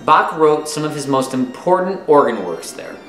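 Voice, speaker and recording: Man, 30s, teacher-style read-aloud of a kids' nonfiction book. Lively, expressive pitch movement, roomy home recording. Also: moderate pace. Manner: expressive, clearly phrased for young listeners. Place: small room at home, indoors. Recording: roomy echo with music behind. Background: music.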